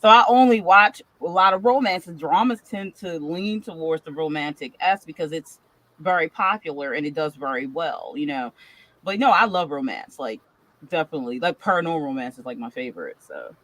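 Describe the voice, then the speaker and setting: A woman's voice: low-pitched, animated, normal speed, a bit bright, rough recording. A woman horror author on a panel, talking in an eager, rambling way with lots of fillers. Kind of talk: casual panel discussion.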